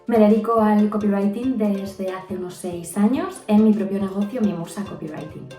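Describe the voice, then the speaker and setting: A thirty-something woman with a Spanish accent tells how she works as a copywriter, pausing now and then on little fillers. She sounds a bit distant from the microphone in an echoing room, with light music underneath. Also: mid-range voice, warm tone. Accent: Spanish (Spain). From Spain. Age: 30s. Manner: thoughtful, uses fillers like eh and pues. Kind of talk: recorded testimonial.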